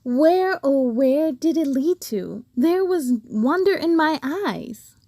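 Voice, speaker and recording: A woman voicing a gentle, eager ten-year-old girl in a storybook, with an expressive, wondering and inviting manner. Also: high-pitched, slow, dry recording, clean studio sound, full character voice. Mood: dreamy wonder.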